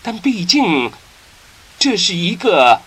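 A man narrating a science-fiction radio drama in a solemn storytelling manner, with dramatic pauses. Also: high-pitched, very animated, a bit bright, breathy edge.

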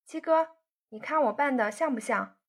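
A woman voicing a lively, girlish younger sister who speaks eagerly and chirpily. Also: high-pitched, normal speed, slightly rough, pitched above the performer's own voice.

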